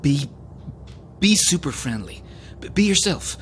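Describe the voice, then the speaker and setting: Concerned, soothing travel companion. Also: male voice, fairly high pitch, very animated, unhurried, a bit bright, slight background noise. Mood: reassuring. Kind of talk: scripted audio drama acting.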